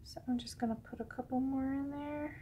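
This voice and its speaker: A woman with a high voice, sniffly from a head cold, talks her way through a coloring tutorial at her desk. Her speech wanders and restarts, full of ums, and now and then she drops to a soft whisper while she draws. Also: fairly even intonation, a little fast, background noise. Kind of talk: casual real-time drawing tutorial.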